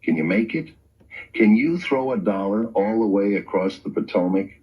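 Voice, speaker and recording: An actor playing a pushy, image-minded campaign manager, brisk and insistent, in an old-time radio comedy sketch. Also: male voice, lowish voice, lively intonation, husky. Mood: persuasive. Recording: dull lo-fi archive radio recording.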